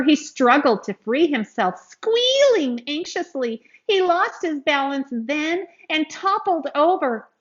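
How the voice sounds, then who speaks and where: A woman reading a picture book aloud to young children in an expressive, teacherly way, speaking to them directly as she goes. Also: sits high, muffled recording. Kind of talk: read-aloud storytelling with asides to young listeners.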